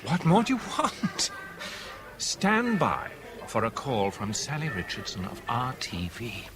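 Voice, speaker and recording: An actor playing a shrewd, amused British fixer in a 1970s radio drama, jovial and emphatic in delivery. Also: male voice, medium pitch, huge pitch swings, unhurried, a crowd in the background. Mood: teasing. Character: shrewd, amused British man with media contacts.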